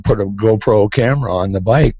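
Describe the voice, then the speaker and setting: Older male author guest, low-voiced, chatty and self-deprecating, dry and slightly muffled studio sound. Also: brisk, no room sound. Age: 60s. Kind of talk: casual radio interview. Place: radio studio. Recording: dry, dull-sounding radio studio audio.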